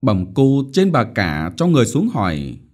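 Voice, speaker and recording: A man's voice: lowish voice, wildly swooping pitch, normal speed, darkish tone. A man voicing a timid, trembling young maidservant who speaks very deferentially. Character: timid young servant girl.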